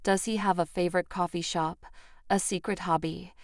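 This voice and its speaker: A woman's voice: lowish voice, steady tone, normal speed, no echo, clean studio sound. A woman reading a scripted first-person story as its narrator.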